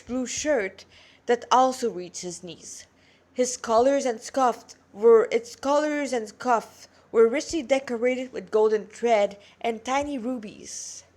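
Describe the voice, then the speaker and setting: A woman with a congested nose reading a fantasy story aloud as an amateur narrator. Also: high-pitched.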